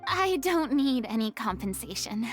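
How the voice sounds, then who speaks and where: High-voiced, theatrical, refined young lady. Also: very animated, a little slow. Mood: solemn, reflective. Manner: dramatic, eloquent, monologuing. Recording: faint music behind.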